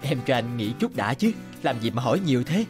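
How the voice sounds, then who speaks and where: A man's voice: mid-range voice, big pitch swings, a little fast, over music, lightly acted. Man playing an earnest young cartoon suitor. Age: young adult. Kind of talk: cartoon voice acting.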